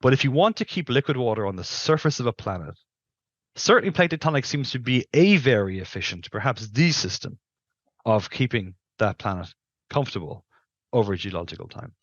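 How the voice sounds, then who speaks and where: A man's voice: mid-range voice, lively intonation, brisk, no echo, lo-fi sound. A middle-aged man giving careful, qualified explanations as an interview guest, speaking into a headset on a video call. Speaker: guest planetary scientist.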